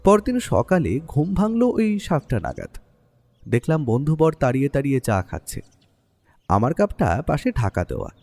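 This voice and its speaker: Male actor for detective's writer companion, Bengali story narration. Very lively intonation, dark warm timbre, quiet music bed. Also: medium pitch, moderate pace. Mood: reflective, narrating. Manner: expressive, storytelling.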